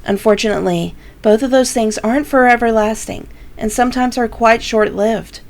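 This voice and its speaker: A woman's voice: on the high side, normal speed. A woman presenting a mystery anthology with scripted narration. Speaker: host and presenter of an anthology show. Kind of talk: scripted narration, rhetorical mystery-show questions.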